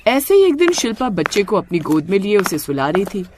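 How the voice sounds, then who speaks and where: A woman's voice: medium pitch, very animated, a little fast, darkish tone, slight background noise. A woman narrating a scripted family story as a storyteller.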